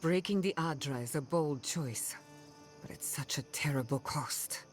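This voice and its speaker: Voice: mid-range voice, unhurried, faint music behind, close to an everyday voice. An actor playing a wry, conflicted adventuring companion in a fantasy game, speaking frankly. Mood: torn, worried. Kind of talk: fantasy game cutscene acting.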